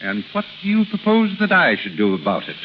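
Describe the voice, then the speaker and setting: A middle-aged man acting in a 1930s radio drama as a proud, wounded husband with formal, halting speech. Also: a little high, huge pitch swings, highs cut off. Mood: cold. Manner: formal, halting under emotion. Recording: noisy, sizzling 1930s radio recording.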